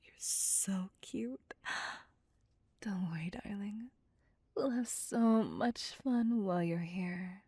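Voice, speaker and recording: A woman plays a possessive female vampire in an ASMR roleplay. She speaks slowly and breathily, close and dry, slipping between smug commands and teasing whispers. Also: mid-range voice, mellow, slightly rough, no room sound. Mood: teasing, sultry. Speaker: voice actor.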